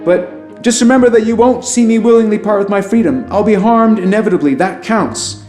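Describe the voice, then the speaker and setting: High-voiced, earnest alien presidential candidate played by a man. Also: fairly quick, a little mellow, reverberant, soft background music, natural voice. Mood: defiant, resigned. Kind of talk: audio drama acting.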